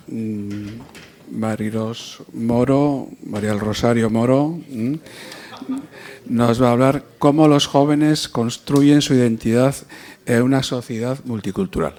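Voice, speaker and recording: Moderator guy announcing the next speaker, mellow voice, far off mic, faint noise. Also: mid-range voice. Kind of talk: introducing speakers.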